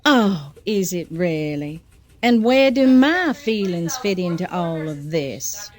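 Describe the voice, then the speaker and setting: A woman's voice: lowish voice, sing-song, takes it slowly. A woman playing a proud, passionate businesswoman in a soap-opera audio drama, speaking in an emotive, sardonic way. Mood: indignant. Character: proud, passionate businesswoman, indignant and demanding with her lover. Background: faint music.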